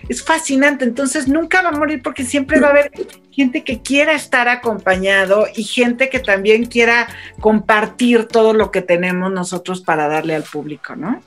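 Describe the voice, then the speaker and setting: A woman's voice: fairly high pitch, normal speed, fairly bright tone, soft background music. Enthusiastic woman broadcaster, rambling. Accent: Mexican Spanish. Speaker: radio broadcaster guest. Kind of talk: panel conversation.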